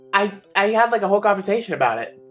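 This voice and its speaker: A young streamer with a slightly raspy voice rambles through a casual chat. They rant with animated energy and toss out playful insults. Faint music plays underneath. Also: medium pitch.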